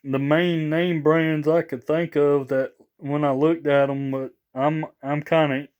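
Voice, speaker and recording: Slow-talking man with a clear voice. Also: fairly high pitch, little pitch movement, a little mellow. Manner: rambling, halting, repeats himself. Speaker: vlogger showing radio gear. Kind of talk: casual product showcase.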